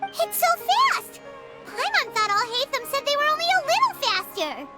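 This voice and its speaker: A woman voicing a tiny, excitable, childlike fairy companion in an anime game, squeaky and chirpy. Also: moderate pace, over loud music. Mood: excited. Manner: squeaky, chirpy, third-person self-reference.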